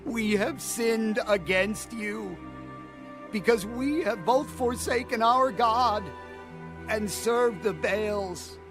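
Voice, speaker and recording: Man playing slow, high-pitched pleading penitent. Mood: pleading, repentant. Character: pleading, repentant Israelite people confessing their sins. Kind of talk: dramatized audio Bible. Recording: music under the voice.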